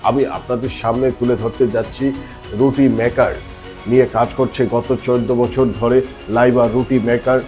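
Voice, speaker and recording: Mellow-voiced Bangladeshi man hosting, music behind. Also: medium pitch, level tone, moderate pace. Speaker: video host. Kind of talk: scripted video introduction.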